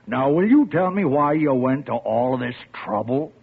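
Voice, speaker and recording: A man's voice: medium pitch, expressive, normal speed, husky. An actor playing a brusque, streetwise man in a 1940s radio play. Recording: tinny old radio broadcast.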